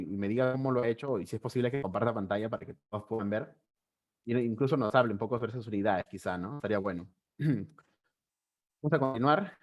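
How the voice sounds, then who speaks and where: A Peruvian-accented man leads a live online coding class through a video call. His pitch sits in a middle range for a man, and he explains things patiently while sprinkling in tag questions and hesitations. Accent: Peruvian Spanish. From Peru. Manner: unhurried, ends phrases with tag questions, frequent fillers, occasional throat clearing. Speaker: programming instructor.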